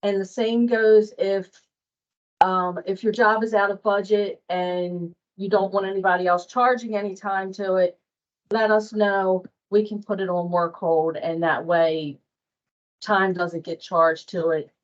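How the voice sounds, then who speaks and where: A woman's voice: medium pitch, fairly even intonation, unhurried. A woman presenting an informal workplace training session, speaking in a plain-spoken, explanatory way. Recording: dull, muffled lo-fi audio.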